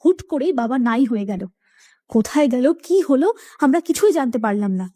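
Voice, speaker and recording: A woman's voice: sits high, animated, moderate pace, mellow. A woman playing an aggrieved young village daughter in a cartoon, plaintive and protesting. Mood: hurt, protesting. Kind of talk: cartoon voice acting.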